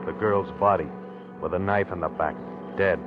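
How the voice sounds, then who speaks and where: Low-voiced, haunted husband played by an actor, old radio. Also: male voice, fairly even intonation, over loud music, close to an everyday voice. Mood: brooding, haunted. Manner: halting, brooding, confessional. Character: haunted, obsessive husband confessing, fearing for his sanity. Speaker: actor narrating in character. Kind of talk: 1940s radio acting. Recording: muffled old 1940s radio recording.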